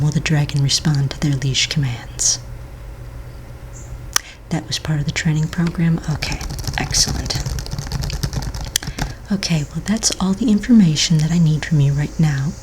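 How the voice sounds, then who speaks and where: Casting: woman, low soft whispery voice, patient and polite office manager for ASMR roleplay, with background noise. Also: poor audio. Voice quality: soft, breathy, mostly whispered. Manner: gentle, polite, reassuring clerical patter. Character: courteous, patient female office manager registering pets.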